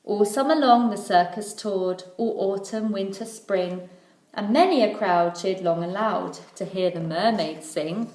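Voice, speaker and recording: Female primary teacher reading to children: mid-pitched, rhythmic storytelling, recorded in an echoey room. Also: measured pace, a little mellow. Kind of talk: children's storybook read-aloud.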